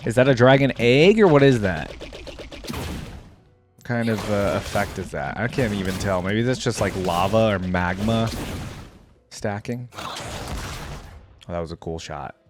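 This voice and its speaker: A man's voice: lowish voice, measured pace, long pauses, music in the background. A young man giving casual, laid-back reaction commentary, thinking aloud as host.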